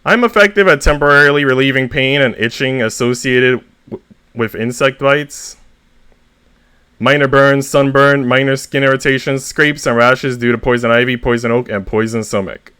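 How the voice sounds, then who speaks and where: A man's voice: mid-range voice, normal speed, sharp tone, clean tone, natural voice. A man voicing a matter-of-fact tube of ointment, reciting like a product label.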